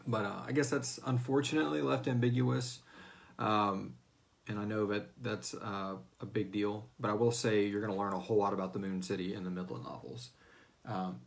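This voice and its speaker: A man's voice: fairly low pitch, steady tone, normal speed, creaky. Casual American man hosting a fan Q&A. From Houston, United States. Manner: joking, informal, breaks into playful voices. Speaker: host of a fiction series fan Q&A, its writer. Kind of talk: casual livestream Q&A chat.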